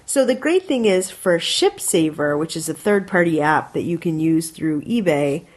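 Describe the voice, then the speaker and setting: A woman's voice: deep voice, animated, normal speed. An American woman co-hosting a podcast, giving casual advice in an instructive, emphatic way. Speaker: podcast co-host.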